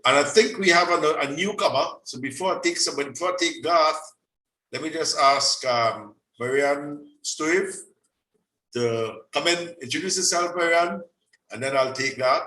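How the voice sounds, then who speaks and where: A man leads an online meeting in a chatty way, with a bright, forceful voice. He sits away from the mic, so he sounds distant in an echoey room. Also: fairly high pitch, moderate pace. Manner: chatty, hands over the floor. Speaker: host of a radio club meeting.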